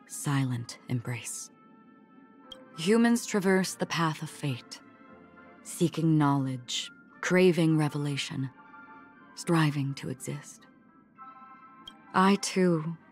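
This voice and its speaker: A solemn young swordswoman from a video game, speaks in a low, soft voice. Her delivery is very slow and contemplative, full of measured pauses. Music plays clearly behind her serene, wistful words. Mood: somber, detached. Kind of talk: scripted video game character voice lines. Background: background music.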